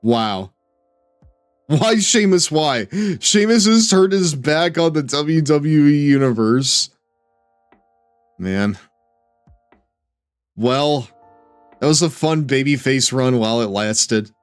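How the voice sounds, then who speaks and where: A man chatting casually with his chat while playing a wrestling game on stream, laid-back and drawling, and given to sudden excited shouts. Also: a little high, takes it slowly, long pauses, clear, resonant tone, soft background music.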